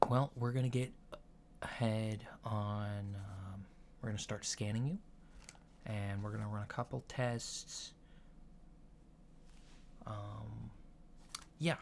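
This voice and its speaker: Slow, soft-spoken alien doctor played by a man. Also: lowish voice, fairly even intonation. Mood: calm, procedural. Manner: halting, mild, matter-of-fact. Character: polite, curious alien doctor giving a checkup. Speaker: ASMR roleplayer. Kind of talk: soft-spoken ASMR roleplay. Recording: lo-fi with clear background noise.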